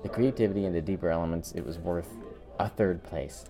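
A man co-hosting a podcast in casual conversation, halting and repeating words as he thinks aloud. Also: sits low, music in the background. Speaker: podcast co-host. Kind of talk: casual chat ranking favorite cartoon specials.

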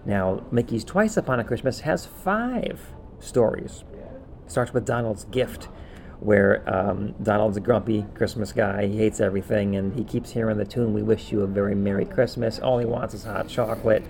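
Gravelly, lowish-voiced man rambling casually. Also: normal speed, darkish tone, a little hiss or hum. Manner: rambling, offhand. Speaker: podcast co-host. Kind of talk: casual, loose recapping.

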